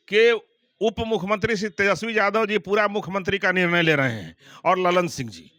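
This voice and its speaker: A man's voice: high voice, bright, forceful tone, dry recording. A man speaking as a party spokesperson in an interview, emphatic and accusatory, often repeating his points. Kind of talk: political interview answers.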